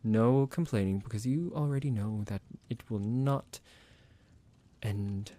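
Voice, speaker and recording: A man voicing a possessive, condescending vampire doctor in an ASMR roleplay, speaking in a measured, formal, menacing and softly commanding way. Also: lowish voice, natural voice. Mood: smug, commanding.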